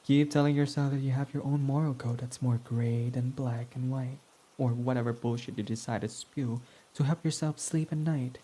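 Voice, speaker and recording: A man's voice: medium pitch, steady tone, moderate pace, warm tone, close to an everyday voice. A man voicing a prickly, snarky male villain in an ASMR roleplay, talking in a sarcastic, mocking, dismissive way.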